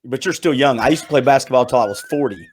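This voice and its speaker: A man's voice: mid-range voice, quick pace, slightly rough. A man in his fifties hosting a casual video-call podcast chat, joking and keeping the guests on track. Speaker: podcast host. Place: home room indoors. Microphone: headphones, video call.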